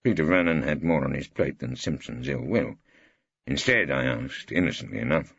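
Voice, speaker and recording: A man with an English accent playing a wry, irreverent older barrister, gravelly and droll, narrating an audiobook. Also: a bass voice, animated, normal speed, a bit bright, lo-fi sound, full character voice. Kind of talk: first-person audiobook narration with dialogue.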